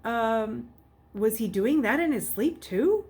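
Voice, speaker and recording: A woman's voice: fairly high pitch, animated, takes it slowly, darkish tone, voice pushed up, heavily acted. A woman voicing a nervous, stammering boy in a storybook, flustered and hesitant as he bluffs. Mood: panicked, stammering. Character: nervous, stammering boy telling lies, easily panicked. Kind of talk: storybook character voice. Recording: clearly noisy background.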